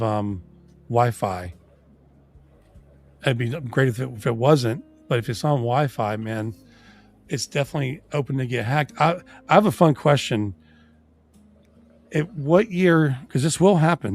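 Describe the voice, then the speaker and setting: A man's voice: mid-range voice, moderate pace, a little mellow. A man who is losing his voice, chatting casually as a livestream co-host in a sweary, informal way, stumbling over his words.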